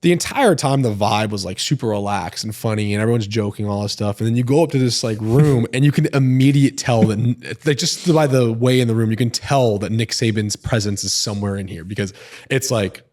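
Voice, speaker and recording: A young man in a podcast chat, telling a long story in a rambling, animated way with lots of filler words. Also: lowish voice, a little fast, no room sound, clean studio sound. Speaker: podcast guest. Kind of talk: casual storytelling.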